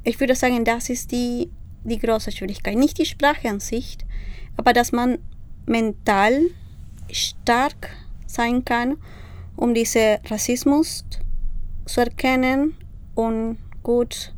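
A woman's voice: fairly high pitch, steady tone, slow. A woman from Peru who speaks German with a Peruvian Spanish accent, a Spanish teacher talking in a casual interview and often searching for words.

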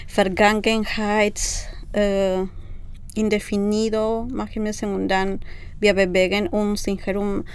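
A woman's voice: mid-range voice, little pitch movement, a little slow, clear, resonant tone. A woman from Peru who speaks German with a Peruvian Spanish accent, a Spanish teacher talking in a casual interview and often searching for words.

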